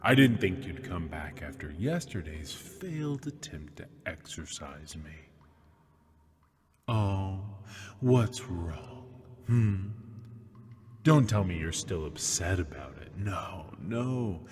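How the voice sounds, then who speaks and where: A man playing a seductive, taunting demon prisoner in an ASMR roleplay, husky and breathy, teasing in mock-sweet tones and often sinking toward a whisper. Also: sits low, lively intonation, a little mellow, a little hiss or hum. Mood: mocking, amused. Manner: slow, teasing, mock-sweet, lingering on words. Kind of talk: ASMR roleplay, scripted monologue. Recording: long hall-like reverb.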